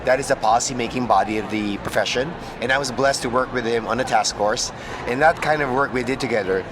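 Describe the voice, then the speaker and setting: A male podcast host interviewing a guest at a conference booth, effusive and enthusiastic, filling pauses with "mm" and laughs. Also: mid-range voice, noisy background. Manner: effusive, frequent "mm" affirmations and laughs.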